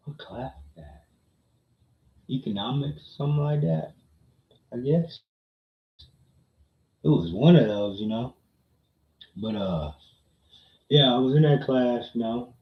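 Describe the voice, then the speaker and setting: Slow, low-voiced man storytelling in echoey room. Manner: slangy, repeats phrases for emphasis with frequent tag questions. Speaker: livestream storyteller and host. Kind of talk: casual chat, personal storytelling to a live chat. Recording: muffled, roomy echo.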